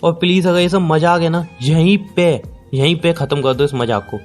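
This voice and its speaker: An actor plays a young boyfriend in a relationship audio drama. His voice sits fairly high for a man, pleading and incredulous, hurt and pressing. Background music runs beneath it. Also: normal speed, natural voice. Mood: pleading, exasperated. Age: young adult. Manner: repetitive, pressing, exasperated. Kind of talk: acted phone-call drama in conversational Hindi with some English.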